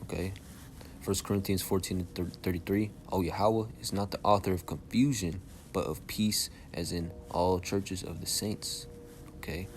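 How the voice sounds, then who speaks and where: Soft-spoken young man teaching scripture, very low. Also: steady tone, normal speed, a little hiss or hum. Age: young adult. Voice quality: soft-spoken by his own account. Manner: casual, checks in constantly with "all right?" and "okay?". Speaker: Bible teacher.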